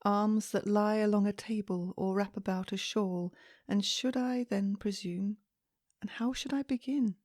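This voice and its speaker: A woman reading poetry aloud in a soft, calming, measured way meant for relaxation, with deliberate phrasing and pauses. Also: medium pitch, no echo, clean studio sound. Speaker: poetry reader.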